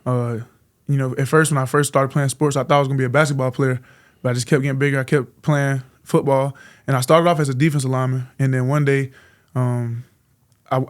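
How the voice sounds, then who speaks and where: Twenties male athlete from Florida, polite and soft-spoken, fast delivery, little pitch movement. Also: level tone. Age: 20s. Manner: soft-spoken, polite, answers with "yes, sir". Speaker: college football player, guest. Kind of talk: casual interview answers.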